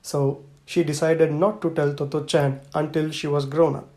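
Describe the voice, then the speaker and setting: A man's voice: on the high side, flat delivery, moderate pace, a little mellow. A man reading a children's book aloud as scripted audiobook narration. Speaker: audiobook reader.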